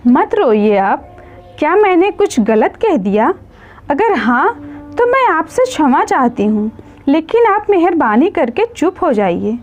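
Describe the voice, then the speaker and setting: A woman voicing a shy, devoted young wife in a story, soft-spoken, deferential and pleading. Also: high-pitched, very animated, measured pace, dull audio, faint music behind. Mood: pleading, apologetic.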